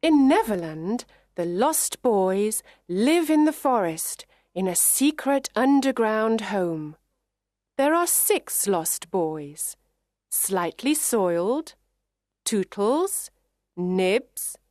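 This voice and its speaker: A woman reading a children's story aloud in clear, measured narration for English learners. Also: medium pitch, a little mellow, no room sound, clean studio sound.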